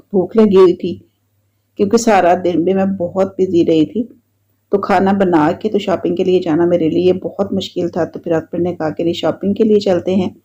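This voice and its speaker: Pakistani urdu lady rambling through her home vlog, bit of a sore throat, mellow voice, muffled lo-fi sound. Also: lowish voice, husky. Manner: chatty, repetitive, relaxed storytelling. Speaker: vlogger. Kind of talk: casual voice-over narration. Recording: dull lo-fi sound.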